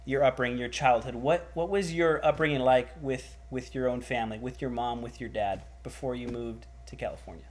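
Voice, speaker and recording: A man's voice: mid-range voice, brisk, few pauses, darkish tone. A man hosting a livestreamed church broadcast, upbeat and enthusiastic as he interviews a guest. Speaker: church host.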